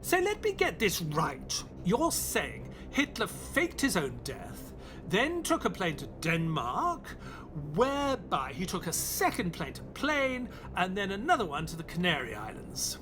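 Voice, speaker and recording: An earnest male doctor in an audio play, the loyal companion of a detective. He is polite, curious and easily amazed, his pitch very lively, with prominent music underneath. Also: a little slow, full character voice. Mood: reflective. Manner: questioning, polite, easily astonished. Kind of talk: audio drama acting with first-person narration.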